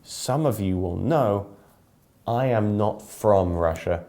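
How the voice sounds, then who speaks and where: Young man from England, low and dark, slow measured delivery. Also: animated. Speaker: English teacher. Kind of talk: scripted lesson monologue.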